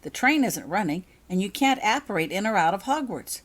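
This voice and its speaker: Woman reading a blunt, sceptical schoolboy, animated. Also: medium pitch, brisk. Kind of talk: storybook character voice.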